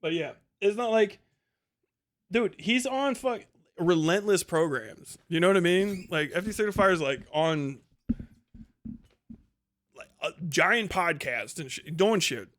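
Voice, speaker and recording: A man with a slightly high voice talks off the cuff as a livestream host. His commentary is casual and rambling, full of slang and swearing. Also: animated, measured pace, pauses often, a bit bright.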